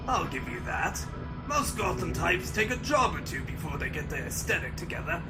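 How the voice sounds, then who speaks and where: A man's voice: fairly high pitch, very animated, moderate pace, over loud music. A man playing a scheming, cowardly crime boss in an audio drama, theatrical and blustering. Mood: smug. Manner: theatrical, swinging from smug to frantic.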